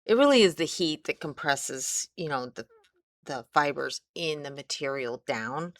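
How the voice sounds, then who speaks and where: A woman's voice: low voice, expressive, measured pace, dry recording. A middle-aged woman with a Midwestern accent, chatting as she demonstrates a craft, rambling and trailing off mid-sentence. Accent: Midwestern American. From American Midwest. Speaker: craft instructor. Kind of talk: casual crafting tutorial.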